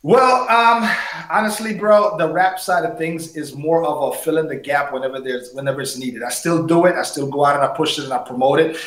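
A man's voice: on the high side, brisk. Upbeat man from Colombia, echoey room. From San Andres, Colombia. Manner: animated storyteller, says bro a lot. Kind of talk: casual interview chat.

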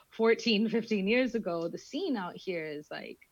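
A woman's voice: medium pitch, animated, unhurried, no echo, highs cut off. A woman from England, a guest chatting casually on a podcast, telling stories in a chatty way and swearing freely. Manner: chatty storyteller who acts out quoted voices, swears freely.

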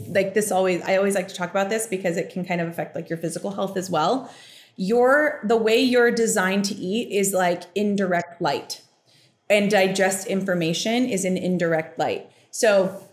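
A young adult woman hosting a podcast, explaining a reading in a casual, chatty way, with frequent fillers and quick asides. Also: mid-range voice. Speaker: podcast host giving a reading. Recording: roomy echo.

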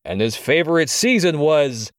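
A man playing a villainous game show host in an audio drama, with drawn-out, teasing showman patter. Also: a little high, sing-song, no echo, studio quality. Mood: gleeful, teasing. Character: showboating male villain game show host, toying with captives.

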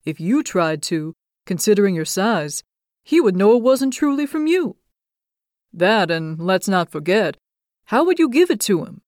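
A woman's voice: medium pitch, big pitch swings, a little slow, a little mellow, no room sound, clean studio sound. A woman playing a big kindly bear. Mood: apologetic. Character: big kindly male bear, gentle and sympathetic.

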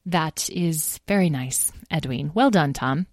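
A woman voicing a caring mother dragon in a children's story, worried and motherly, gentle but firm. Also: sits low, a little slow, no echo, studio quality.

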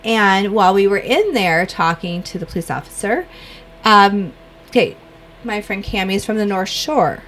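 A woman's voice: mid-range voice, expressive, normal speed, a bit bright, a little hiss or hum. A woman from Illinois with a Chicago accent, co-hosting a casual podcast and rambling through giggly asides. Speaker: podcast co-host.

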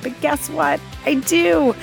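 Upbeat lady doing her podcast intro, music loud underneath. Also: high voice, steady tone, takes it slowly, vocal fry, rough recording. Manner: upbeat, promotional. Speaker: podcast host. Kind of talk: scripted show intro.

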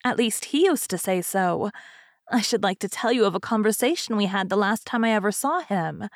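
A woman's voice: mid-range voice, animated, moderate pace, no echo, clean studio sound, lightly acted. A woman voicing a vain, chatty old fairy princess in a storybook, gushing and rambling on tactlessly but good-naturedly. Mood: cheerful, chatty.

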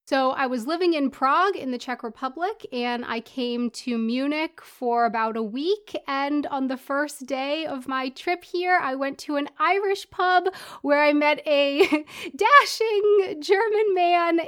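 A woman's voice: high-pitched, unhurried. An American woman who is a podcast guest, chatting casually and in a bubbly way, laughing often. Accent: American English. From United States. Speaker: interview guest. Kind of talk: casual conversation.

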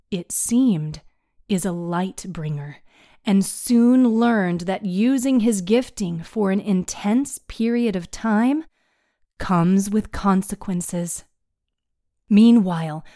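A woman narrating a scripted story recap in a measured, dramatic storytelling manner. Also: mid-range voice, darkish tone, dry recording, studio quality.

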